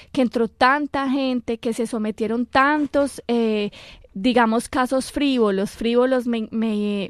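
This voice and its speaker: A Colombian woman speaks as an immigration lawyer on a radio show, her voice sitting a little high. She explains in a measured way, pausing on fillers. The sound is dry and close. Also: no room sound. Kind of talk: radio call-in advice talk.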